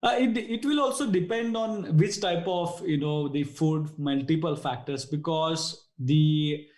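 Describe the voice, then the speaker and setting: Indian-accented dentist guest, somewhat high voice, hesitant and filler-heavy, echoey room. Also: male voice, unhurried, darkish tone. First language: Konkani. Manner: hesitant, frequent "you know" fillers. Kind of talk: casual interview answers.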